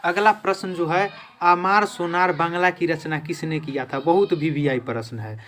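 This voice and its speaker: A man's voice: a little high, fairly even intonation, normal speed, a bit bright, slight background noise. A man teaching an exam-prep lesson in question-and-answer form, emphatically repeating each answer.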